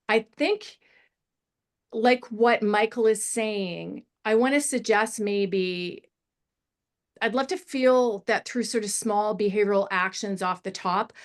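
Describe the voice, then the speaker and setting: Woman, middle-aged, medium pitch. Script feedback panellist: encouraging, animated, digressive, conversational. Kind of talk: casual spoken feedback on a screenplay.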